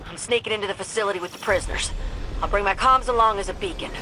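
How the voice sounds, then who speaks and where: A woman's voice: medium pitch, rapid-fire, background noise, close to an everyday voice. An actress playing a plucky, informal young woman pilot with a Southern American accent in a cinematic video game. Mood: urgent, determined. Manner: folksy, informal. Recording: music bed behind voice. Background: music.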